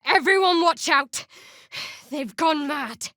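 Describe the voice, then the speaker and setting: Young dark cleric gal for a video game, guarded n wry, kinda high voice, super dry studio sound. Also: female voice, takes it slowly, dry recording, heavily acted. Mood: urgent, alarmed.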